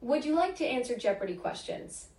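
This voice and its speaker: A woman's voice: high-pitched, brisk. A synthetic woman's voice of a voice assistant, giving polite, scripted replies. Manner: polite, even, scripted phrasing. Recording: echoey room from a smart speaker.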